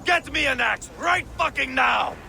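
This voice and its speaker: A man playing a fierce, vengeful Viking warlord with a gravelly voice and an intense manner, in a video game. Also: very high-pitched, lively intonation, unhurried, faint music behind, exaggerated voice. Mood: furious. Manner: intense, swinging from hushed to roaring. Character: fierce, vengeful male Viking warlord, hot-tempered and grieving.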